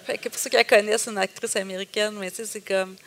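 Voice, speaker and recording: A woman's voice: mid-range voice, steady tone, quick pace. A middle-aged woman with a Québécois accent, telling her personal story as a guest in a conversation, with many hesitations and quoted thoughts. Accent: Québécois French.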